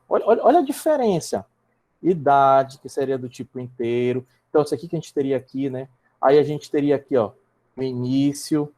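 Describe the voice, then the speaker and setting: Brazilian Portuguese man, programming instructor, online class, step by step explaining, frequent check-in tags, average pitch. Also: a little mellow. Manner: repeats and restarts words while typing. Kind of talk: live online coding lesson.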